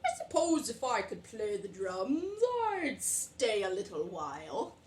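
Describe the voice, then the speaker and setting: A woman doing a low, soft-spoken bear. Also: big pitch swings, unhurried, echoey room, a little hiss or hum. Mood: wistful. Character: gentle shy male bear.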